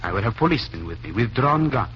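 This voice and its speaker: A low-pitched male secret agent from Turkey, composed and courteous. He talks deliberately and formally, in old radio drama style, on a muffled recording with some noise. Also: sing-song. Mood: confiding, assured. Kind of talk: 1940s radio drama acting.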